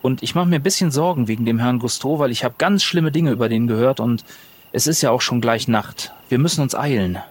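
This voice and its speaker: A man's voice: medium pitch, a little fast. A man playing a tabletop roleplaying game, improvising conversationally in character.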